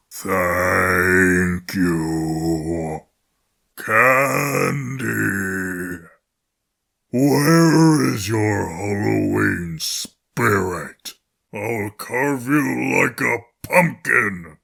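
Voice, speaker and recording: A man voicing a creepy, greedy, ravenous Halloween pumpkin monster for a video game, with a growly gravelly voice. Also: lowish voice, lively intonation, drawn-out words, fairly bright tone, exaggerated voice. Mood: hungry, menacing. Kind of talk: video game character voice lines.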